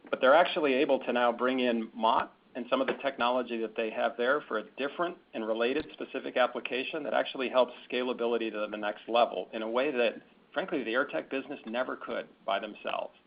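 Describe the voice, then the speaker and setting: A male company executive talks through business matters on a conference call. His mid-pitched voice comes through slightly muffled and lo-fi. He explains semi-formally, doubling back on words and phrases as he goes. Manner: halting, repeats words and phrases. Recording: dull, band-limited conference call audio.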